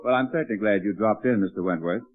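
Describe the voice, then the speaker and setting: A man's voice: fairly low pitch, quick pace, phone-quality sound, soft background music, lightly acted. An actor playing a genial, reassuring middle-aged father in an old-time radio sitcom. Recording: thin, band-limited vintage radio recording.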